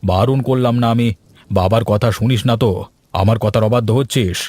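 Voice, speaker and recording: A man's voice: mid-range voice, brisk, darkish tone, no room sound, close to an everyday voice. A man voicing a strict, protective middle-aged father in a cartoon, scolding firmly and giving orders. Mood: stern. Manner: firm, scolding, commanding.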